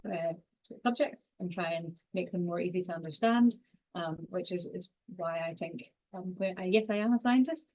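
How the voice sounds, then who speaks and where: Scottish woman in her forties, lecturer, low-pitched, rapid delivery with fillers, muffled video-call sound. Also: a little mellow. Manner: fast-talking, with frequent fillers and restarts. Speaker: university lecturer and exercise scientist. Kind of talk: online lecture.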